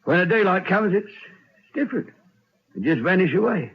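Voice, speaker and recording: A man's voice: sits high, big pitch swings, moderate pace, slightly rough, exaggerated voice. An actor playing a proud, dutiful prison hangman who is losing his nerve, in an old radio drama style with stammering protests. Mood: haunted, brooding. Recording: dull old radio recording.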